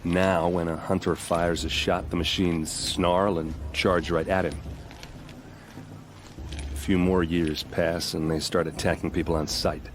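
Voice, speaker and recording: A friendly video game warrior with a deep voice. He is plain-spoken and wry, proud of his people and given to folksy boasting. Faint ambient noise sits behind him. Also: level tone, unhurried. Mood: grave, explanatory. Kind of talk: game cutscene dialogue acting.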